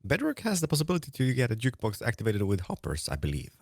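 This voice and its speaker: A man with a wildly swooping, animated voice, recorded dry. He chats casually with another player in an excitable, giggly way and tends to repeat his words. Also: mid-range voice, rapid-fire, vocal fry, dry recording. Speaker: guest fellow player. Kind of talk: casual chat.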